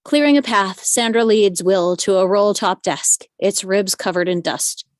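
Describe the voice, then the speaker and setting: A woman with a medium-pitched voice narrates stage directions from a screenplay. The sound is very dry, and the style is that of a live scripted read. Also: a little slow, no room sound.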